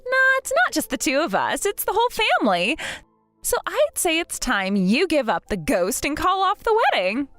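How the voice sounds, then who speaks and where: A woman's voice: wildly swooping pitch, moderate pace, fairly bright tone, no room sound, soft background music, full character voice. Woman voicing Cassie, a sneering bratty sister. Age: late 20s. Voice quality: sneering, high-pitched and mocking. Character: spiteful bratty young woman, the groom's younger sister.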